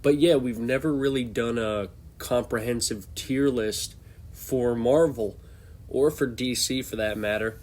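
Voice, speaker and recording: A man's voice: medium pitch, a little slow, a little mellow. A young man with a congested voice, hosting a casual, off-the-cuff tier-list commentary and thinking aloud as he goes. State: congested from a cold. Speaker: host.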